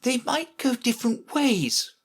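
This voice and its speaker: A woman puts on an earnest little girl from a storybook, high and lilting. She is polite and careful, answering gravely and properly. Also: big pitch swings, unhurried, heavily acted. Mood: grave, thoughtful. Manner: careful, grave, well-mannered.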